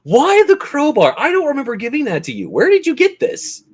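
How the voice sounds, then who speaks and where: Animated man running a tabletop game. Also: very high-pitched, brisk. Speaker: game master. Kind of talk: improvised tabletop game narration and banter.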